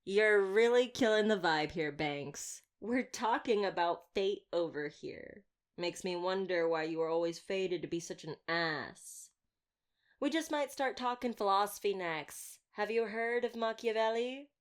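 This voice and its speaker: A woman's voice: medium pitch, unhurried, clear, resonant tone, natural voice. A woman playing a wry, talkative vampire hunter in an intimate roleplay audio drama, glib and teasing with a caring side. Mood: sardonic. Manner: glib, flirtatious, sardonic banter.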